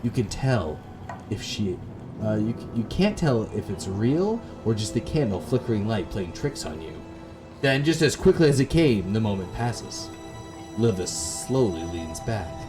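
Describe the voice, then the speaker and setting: A man's voice: lowish voice, sing-song, unhurried. A man from Canada reading a gothic visual novel aloud, giving dramatic narration with casual asides as he plays. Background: atmospheric game music.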